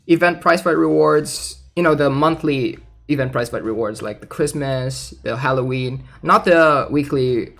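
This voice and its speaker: Higher-pitched, creaky-voiced man over music. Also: a bit bright. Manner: informal, thinking aloud. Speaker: gaming content creator. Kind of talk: casual commentary while reading out game news. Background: background music.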